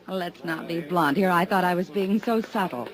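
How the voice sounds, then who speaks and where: A woman's voice: on the low side, measured pace, a crowd in the background, close to an everyday voice, poor audio. An actress playing a teasing, mysterious woman on vacation in a 1950s radio drama. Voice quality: coy, teasing. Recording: old radio recording with music.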